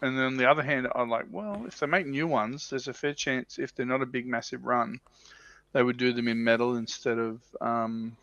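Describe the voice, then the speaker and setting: A man with a mid-pitched voice chats informally as a livestream co-host, thinking aloud as he goes. The sound is dry and close, but somewhat muffled and lo-fi. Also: steady tone, normal speed, a bit bright, dry recording. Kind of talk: casual hobby chat.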